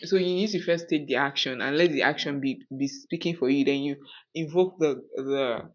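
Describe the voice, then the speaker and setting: A woman's voice: deep voice, normal speed, dull audio. A woman with a Nigerian accent hosting a podcast, talking casually and outspokenly with rhetorical questions. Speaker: podcast host. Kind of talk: casual conversational podcast talk.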